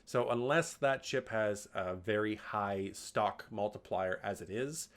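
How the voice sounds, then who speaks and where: A man hosting a tech video, talking casually and jokingly as he explains hardware tips, swearing now and then and getting louder on each new tip, recorded indoors on a camera mic while he holds up parts. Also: lowish voice, unhurried. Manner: jokey, swears casually, lively bursts on tip headings. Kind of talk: casual instructional talk.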